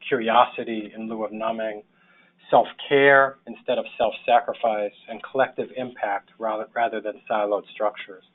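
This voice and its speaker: Phone-line New York man of sixty. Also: fairly low pitch. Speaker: webinar presenter, public health social worker. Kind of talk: informal slide presentation. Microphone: phone or webinar call. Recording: thin phone-line webinar audio.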